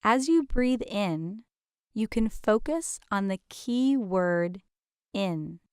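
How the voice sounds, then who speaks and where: A woman guides a meditation at a slow, unhurried pace, with a gentle and soothing manner. Her voice is clear and smooth at a medium pitch, and she leaves deliberate pauses between soft invitations. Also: animated, dry recording, clean studio sound.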